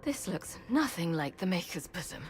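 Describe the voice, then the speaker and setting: A woman's voice: on the low side, big pitch swings, normal speed. An actress playing a proud, defiant, grimly determined woman warrior in a fantasy video game. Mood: wary, wry.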